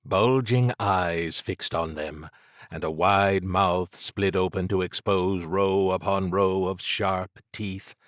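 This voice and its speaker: A man narrating scripted fiction as an audiobook, with measured, dramatic storytelling. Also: low-pitched, fairly even intonation, a bit bright, no echo, studio quality.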